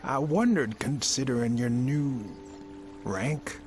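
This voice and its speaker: An actor playing a weary, bitter middle-aged academic mentor with a gravelly, hesitant delivery in a video game. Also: male voice, mid-range voice, takes it slowly, a little mellow, natural voice. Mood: resigned, bitter. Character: weary, bitter, bookish male scholar and former mentor. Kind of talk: video game cutscene acting. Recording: music under the voice.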